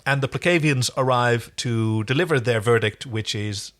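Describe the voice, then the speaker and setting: A man's voice: mid-range voice, moderate pace, a bit bright. A man co-hosting a podcast, casually recapping an episode. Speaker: podcast co-host.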